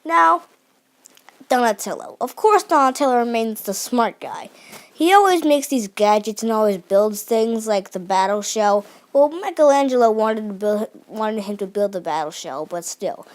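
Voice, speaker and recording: A young woman giving an unscripted, enthusiastic review of a cartoon series, often restarting her sentences and filling pauses. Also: fairly high pitch, lively intonation. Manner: enthusiastic, many restarts and fillers.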